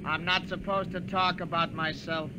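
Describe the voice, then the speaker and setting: A man playing an aloof, guarded soldier in a 1950s radio drama, stiff and measured. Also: sits high, flat delivery, close to an everyday voice. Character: guarded, aloof soldier suspected of being artificial. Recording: very noisy old radio recording.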